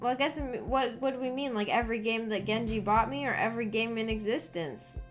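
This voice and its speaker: A woman's voice: on the high side, a little fast. A woman streamer chatting casually to viewers in a rambling, self-conscious, giggly way. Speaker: livestream host. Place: at home in her room. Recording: music playing behind the voice. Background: background music.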